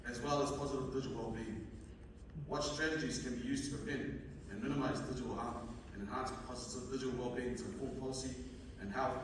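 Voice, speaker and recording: A young man with a Fijian accent presents research at a conference, stumbling here and there as he reads. His voice sits at a medium pitch. He is heard from far off in a heavily reverberant hall with clear background noise. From Fiji. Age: young adult. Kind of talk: conference presentation, partly read from notes. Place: indoor conference room at a university. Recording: echoey hall, distant mic, noisy room.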